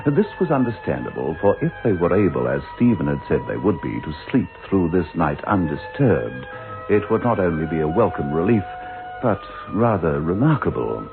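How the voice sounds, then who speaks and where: An actor playing a measured, wry narrator in a radio drama. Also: male voice, low-pitched, big pitch swings. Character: measured, wry storyteller of a ghost tale. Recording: phone-like old radio broadcast. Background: music.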